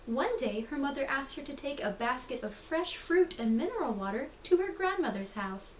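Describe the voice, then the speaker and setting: A woman's voice: sits high, expressive, moderate pace. A woman reading a bedtime story theatrically, with a mock-solemn, dramatic delivery. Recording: roomy, distant mic, faint noise.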